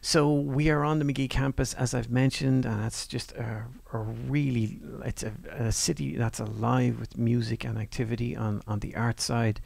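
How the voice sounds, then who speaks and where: A man giving a university course presentation over slides in a relaxed, conversational way, with fillers and restarts. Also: mid-range voice, moderate pace, creaky, hardly any pauses, no echo. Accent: non-Northern Irish.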